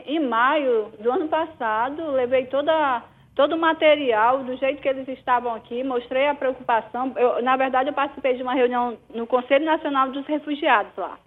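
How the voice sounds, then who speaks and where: A woman's voice: high voice, moderate pace. A woman mayor answering interview questions, hesitant, with many restarts and fillers, in a Brazilian Portuguese accent. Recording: tinny phone line.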